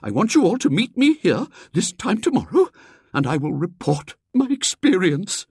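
A woman voicing a pompous, stern elderly spinster who declaims loudly with a tremble of eagerness. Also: lowish voice, sing-song, normal speed, slightly rough, dry recording, studio quality, pitched above the performer's own voice, full character voice. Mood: solemn, eager.

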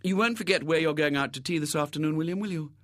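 A man's voice: fairly high pitch, quick pace, fairly bright tone, dry recording, clean studio sound, voice pushed up, lightly acted. A man voicing a schoolboy's mother in a storybook, in a motherly, reminding manner.

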